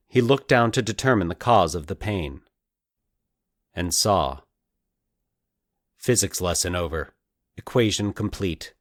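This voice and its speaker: A man's voice: sits low, dry recording, clean studio sound. A man narrating scripted dramatic fiction as the storyteller and host of a podcast.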